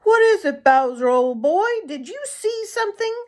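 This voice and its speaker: A woman's voice: high voice, expressive, normal speed, pitched above the performer's own voice. Lady reading as a storybook farm boy, affectionate n coaxing, talking to his dog. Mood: curious, fond.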